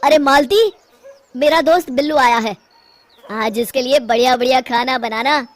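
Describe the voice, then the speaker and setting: A woman's voice: high voice, normal speed, lightly acted. A woman voicing an imperious, demanding freeloader of a brother-in-law in a cartoon story.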